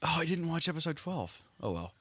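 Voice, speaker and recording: Man, head cold, congested, joking, laughing, lively, dry. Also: on the high side, fairly quick, dry recording. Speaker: podcast co-host. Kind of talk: casual chat.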